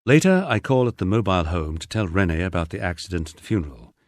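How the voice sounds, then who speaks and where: A man's voice: sits low, animated, fast talker, no echo. A man reading a first-person diary-style memoir aloud with dry, understated storytelling. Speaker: audiobook narrator.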